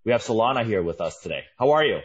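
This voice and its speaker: A man's voice: fairly low pitch, very animated, very fast, breathy edge, lo-fi sound. A man hosting a podcast, upbeat and conversational as he introduces his guest and asks questions. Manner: upbeat, conversational, casual fillers. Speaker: podcast host. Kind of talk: podcast interview.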